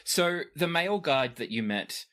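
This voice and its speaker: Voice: medium pitch, animated, a little slow, a bit bright. A game master improvising narration for a tabletop role-playing game in a conversational way.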